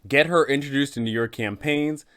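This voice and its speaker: A man's voice: mid-range voice, moderate pace, a bit bright. A man hosting a tabletop game guide, narrating in an explanatory way with dramatic emphasis. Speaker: host of a game master guide. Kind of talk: semi-scripted explanatory narration.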